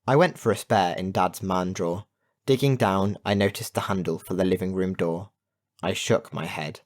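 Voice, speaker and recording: A man reading a children's adventure story as an audiobook narrator, in an expressive storytelling manner. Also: low-pitched, moderate pace. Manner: expressive storytelling with put-on character voices.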